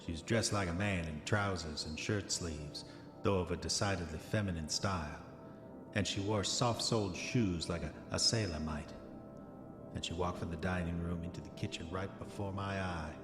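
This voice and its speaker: Man acting a deep-voiced, haunted old-time diarist. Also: lightly acted. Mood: haunted, puzzled. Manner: formal, measured, insistent. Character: troubled early-1900s man, proudly rational, writing his diary. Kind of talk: dramatic first-person diary reading in audio horror drama. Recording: roomy echoing reverb, music underneath. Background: music.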